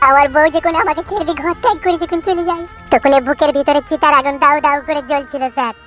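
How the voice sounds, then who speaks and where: A jilted village husband , voiced by a performer, wails through his heartbreak at a breakneck clip. The voice sits high and squeaky for a man, and he piles image on image in long laments. A cartoon voice over a soft music bed. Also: steady tone, fast talker. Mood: aggrieved. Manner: long wailing complaints full of florid images. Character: jilted young village husband, self-pitying and dramatic. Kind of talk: cartoon dub acting.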